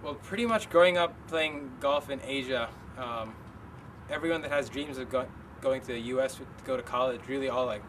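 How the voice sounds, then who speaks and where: Soft-spoken young man, distant, street noise. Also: mid-range voice, fairly quick. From Philippines. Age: young adult. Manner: soft-spoken, hesitant with frequent ums. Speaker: student golfer. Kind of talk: interview answers.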